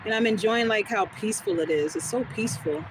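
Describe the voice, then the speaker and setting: A woman with a smooth, soothing voice, chatting casually with viewers during a live stream and answering their comments as she goes. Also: mid-range voice, a little fast. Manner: relaxed, rambling, talks back to chat comments. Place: outdoors on a quiet street. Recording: noisy outdoor phone recording.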